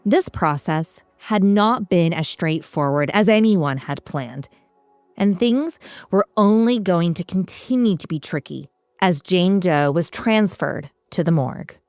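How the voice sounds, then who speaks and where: A woman with a slightly low voice narrates a scripted true-crime story. Her delivery is slow, measured and dramatic in its phrasing, with faint music underneath. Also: expressive, darkish tone, dry recording. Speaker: podcast host and narrator.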